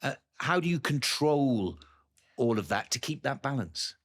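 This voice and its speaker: A man's voice: mid-range voice, animated, measured pace, a bit bright. A man hosting an interview, asking long questions and giving friendly, encouraging replies. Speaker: interviewer host. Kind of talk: podcast interview.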